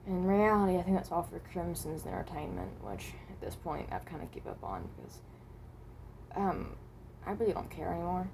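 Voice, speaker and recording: A woman voicing a reluctant, resigned animatronic rabbit from another dimension in a fan roleplay, speaking haltingly and correcting herself. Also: fairly low pitch, sing-song, gravelly, a little mellow, slight background noise, low-quality recording. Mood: weary, resigned. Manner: hesitant, halting, self-correcting.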